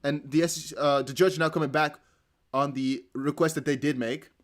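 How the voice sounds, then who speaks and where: A man with a Dutch accent, a native Dutch speaker, giving chatty, excitable live commentary on a court hearing. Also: a little high, brisk. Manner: excitable, chatty, laughs often. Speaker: crypto news streamer.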